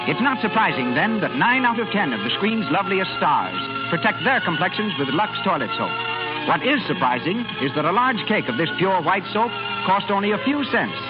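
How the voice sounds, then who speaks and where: A man announcing a 1930s radio show and its sponsor message in a formal, declamatory style. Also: high voice. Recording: dull 1930s radio broadcast, loud music.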